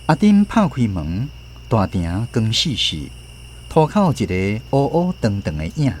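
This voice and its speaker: A man with a Taiwanese accent narrating a scripted radio storybook drama in an expressive storytelling manner. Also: medium pitch, a little slow, a little hiss or hum.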